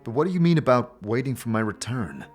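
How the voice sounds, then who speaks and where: A man's voice: mid-range voice, sing-song, quick pace, soft background music. A man playing a hesitant, apologetic adventurer who stammers tentatively in a fantasy audio drama. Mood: mild, uncertain.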